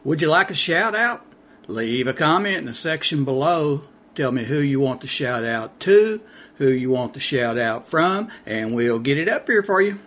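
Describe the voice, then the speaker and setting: Male online host, slightly high voice, folksy casual outro, faint background noise. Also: expressive, moderate pace, a bit bright. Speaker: channel host.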